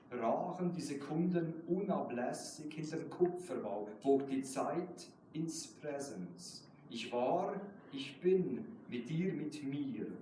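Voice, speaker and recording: A man's voice: a little high, warm tone. Very echoey, distant man presenting poetry. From Switzerland. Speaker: guest poet presenting his work. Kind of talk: live poetry reading with informal remarks. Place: live event in a reverberant room. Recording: very echoey hall, far mic, noisy.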